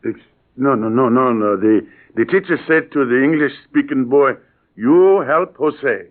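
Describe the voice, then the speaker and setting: An actor playing a friendly, proud immigrant father with a Puerto Rican accent, speaking halting broken English in an old-time radio drama. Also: mid-range voice, close to an everyday voice. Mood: friendly, eager. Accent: Spanish Puerto Rican. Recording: tinny, band-limited old radio broadcast.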